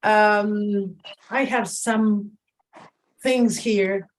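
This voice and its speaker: A woman's voice: mid-range voice, steady tone, extremely slow, rough recording. Woman with Spanish as her first language. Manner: passionate, warm-hearted, with small restarts. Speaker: presenter. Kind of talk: webinar presentation. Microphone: video call.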